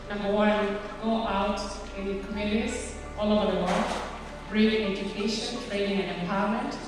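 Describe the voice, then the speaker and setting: A woman introducing her visiting group to a church, earnestly mixing Swahili and English. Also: mid-range voice. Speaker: group leader. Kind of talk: church greeting speech. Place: large church hall. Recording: echoey church hall from far off.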